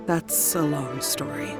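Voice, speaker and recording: A woman playing a troubled, apologetic wife in a video game, polite and sorrowful. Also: sits low. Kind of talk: video game character voice acting. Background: background music.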